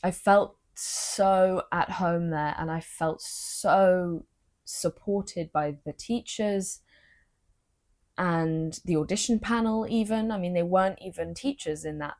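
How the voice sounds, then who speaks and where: A young woman, vlogging earnestly into her phone with a low-energy voice, confiding and emotional. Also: unhurried, darkish tone. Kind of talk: personal vlog talking to camera.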